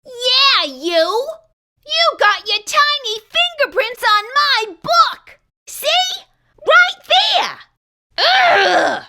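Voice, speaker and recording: A short-tempered, exasperated storybook character, acted out by a woman, scolds in dramatic bursts. The voice is very high and bright, and it leaps around with lively exclamations. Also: extremely slow, exaggerated voice. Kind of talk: animated children's storybook read-aloud.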